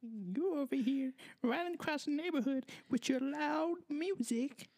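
A man voicing a shrill, nagging woman in a squeaky falsetto with stretched-out words. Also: unhurried, a little mellow.

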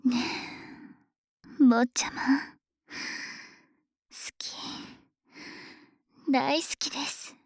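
An obsessive dragon maid, acted by a woman, murmurs in a high, breathy voice for an ASMR drama. She speaks slowly and politely, like a devoted servant, with a teasing, possessive menace. The sound is very close and dry. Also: steady tone, no echo, full character voice. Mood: obsessive longing. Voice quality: breathy, whispery. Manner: slow, honorific servant speech, softly teasing. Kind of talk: Japanese ASMR situation voice drama. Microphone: close microphone, dry studio recording.

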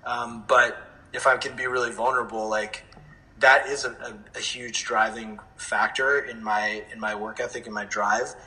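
A man speaking candidly and earnestly in a reflective interview, often pausing and restarting as he thinks. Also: medium pitch, steady tone, pushed and bright, poor audio. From born in America to Indian parents, grew up in several countries. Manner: earnest, candid, hesitant with frequent throat clearing. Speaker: interview guest, dance music producer.

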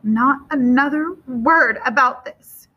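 A woman's voice: a little high, expressive, normal speed, dull audio, pitched above the performer's own voice. A woman playing a worried, grieving, fiercely protective mother in a story, emotional and insistent.

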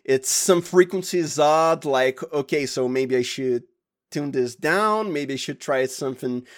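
A man with a Brazilian accent, a native Portuguese speaker, talking casually and haltingly as an interview guest. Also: mid-range voice. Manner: halting, informal, often says "like". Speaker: sound designer and podcast guest.

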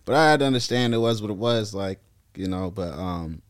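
A man's voice: lowish voice, animated, fairly quick. Laid-back young man, slangy. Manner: laid-back slangy talk, says bro a lot. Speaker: rapper guest. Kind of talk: casual podcast chat.

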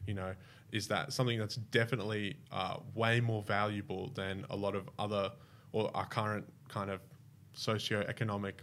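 A young man with an Australian accent and a fairly low voice. He talks in a loose, rambling way, trailing off and restarting often as he chats about himself. His tone stays casual and unhurried. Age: young adult. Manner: relaxed, rambling with restarts and fillers. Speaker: guest, staff member. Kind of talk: casual interview chat.

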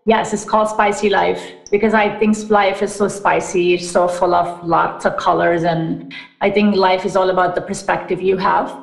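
A woman's voice: fairly low pitch, flat delivery, moderate pace, gravelly. Chatty woman in an echoey room. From Pakistan. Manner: upbeat, chatty, many fillers like "like" and "you know". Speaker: podcast guest, wellness business founder. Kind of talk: casual interview chat.

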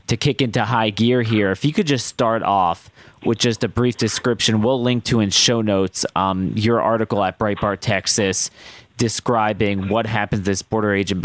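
A man hosting a political podcast, hoarse and losing his voice, speaking in emphatic, halting phrases. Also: lowish voice, no echo. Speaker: podcast host. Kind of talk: political monologue and guest introduction.

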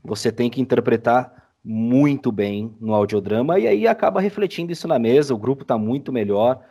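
Mellow Brazilian man, podcast guest. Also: medium pitch, lively intonation, normal speed, breathy edge. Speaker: podcast guest, audio drama creator. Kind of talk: casual interview chat.

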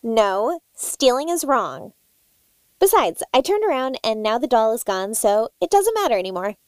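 A woman's voice: fairly high pitch, sing-song, normal speed, no echo. A woman in a comedic audio sketch, talking in a bubbly, cheerfully oblivious way. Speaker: cast member.

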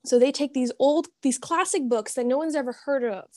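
A woman's voice: high-pitched, a little fast, dry recording, studio quality. A woman guest on a podcast, talking casually and enthusiastically. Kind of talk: casual chat.

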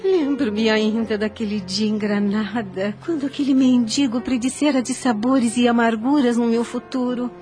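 A woman's voice: on the high side, normal speed, hardly any pauses, a little mellow, natural voice. A frail young mother in anguish speaks in formal, old-fashioned Brazilian Portuguese phrasing, acted by a woman. It is earnest radio soap melodrama, with music faintly underneath. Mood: sorrowful, weary. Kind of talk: radio soap opera acting.

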